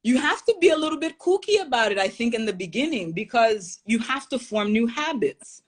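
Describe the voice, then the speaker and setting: A woman's voice: on the high side, very animated, a little fast. Earnest, reflective woman from Haiti. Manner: earnest and reflective, laughs easily. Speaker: podcast guest. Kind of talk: casual interview talk.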